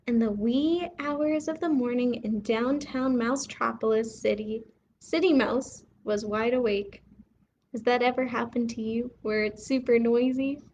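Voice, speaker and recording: A woman hosting a bedtime read-aloud for young children, expressive and putting questions to her listeners. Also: fairly high pitch, unhurried, dull audio, slight background noise. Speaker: children's story reader and host.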